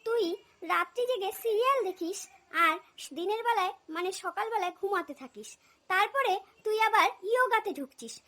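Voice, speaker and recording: A woman's voice: extremely high pitch, lively intonation, a little fast, sharp tone, heavily acted. A woman voicing a stern, exasperated older mother-in-law in a cartoon comedy, nagging with blunt sarcasm. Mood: scolding, exasperated. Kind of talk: cartoon family comedy dialogue.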